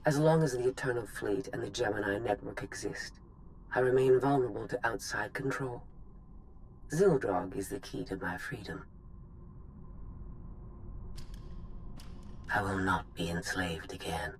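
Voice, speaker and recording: A man playing a cold, superior rogue droid in a video game, speaking in formal, measured phrases. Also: lowish voice, gravelly, a little hiss or hum, rough recording. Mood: cold, resolute. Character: cold, self-freed rogue droid hungry for freedom. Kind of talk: dramatic video game cutscene acting.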